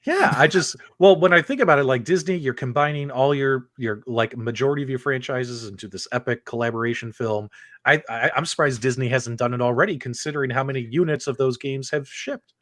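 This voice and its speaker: A man's voice: medium pitch, brisk, fairly bright tone, no room sound, clean studio sound. A man hosting a casual livestream talk show about games, speaking enthusiastically and repeating phrases for emphasis. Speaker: host of a video game talk show.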